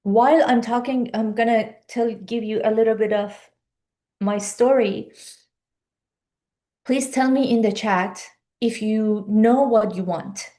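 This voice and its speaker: Woman coach, 50s, somewhat high, mellow voice, urging. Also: fairly even intonation, slow pace. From Iran. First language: Persian. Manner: earnest, urging, rhetorical questions. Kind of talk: live online coaching talk.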